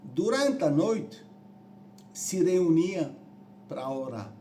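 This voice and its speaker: Slow-speaking Italian man preaching. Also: a little high, sing-song, warm tone, slight background noise. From Rome, Italy. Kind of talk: sermon on church history.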